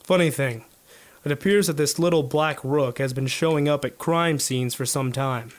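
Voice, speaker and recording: An actor playing an earnest, modest police detective in a 1950s noir radio drama, with a plain-spoken, businesslike manner. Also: male voice, medium pitch, normal speed, natural voice. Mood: confiding.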